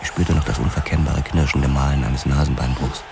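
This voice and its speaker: A man's voice: rumbling low, fairly even intonation, a little fast, gravelly. An actor playing a hard-boiled boxer turned policeman, narrating his past tersely and grimly in a noir radio drama. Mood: tense, grim. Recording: music behind the narration. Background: dramatic music.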